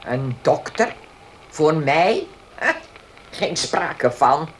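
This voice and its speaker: An actress playing an imperious, restless elderly mistress of a house in a radio drama, commanding and grumbling with halting speech. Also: female voice, deep voice, animated, a little hiss or hum, exaggerated voice. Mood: scornful, grumbling. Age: older. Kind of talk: Dutch radio drama acting.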